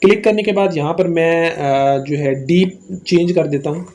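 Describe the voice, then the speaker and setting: Male tutorial host, Pakistani accent, high-pitched voice, walking viewers through app steps. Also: fairly even intonation, normal speed, heavy background noise. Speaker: host of a tech tutorial channel. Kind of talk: scripted-style phone app tutorial narration.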